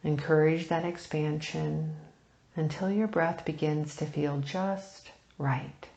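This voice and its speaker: A woman leading a guided meditation, speaking softly and soothingly in unhurried phrases with long pauses, turning breathy at phrase ends. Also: low voice, fairly even intonation, echoey room, highs cut off. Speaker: meditation teacher.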